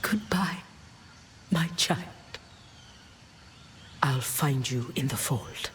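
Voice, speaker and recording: Slow, weary immortal woman mentor. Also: a bass voice, animated, a little hiss or hum, heavily acted. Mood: sad farewell. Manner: slow, grave, hushed at times. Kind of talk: video game cutscene acting.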